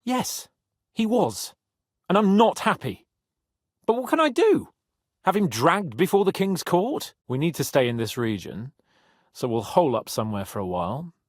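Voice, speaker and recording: An actor playing a brash, haughty young medieval nobleman in expressive, sardonic video game dialogue. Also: on the high side, moderate pace, no echo, studio quality. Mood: indignant, petulant.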